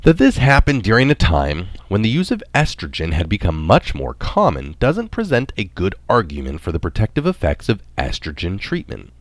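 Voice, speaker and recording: A man's voice: low-pitched, wildly swooping pitch, normal speed, hardly any pauses, no room sound. A man reading a written article aloud in the manner of a narrator. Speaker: reader of recorded articles.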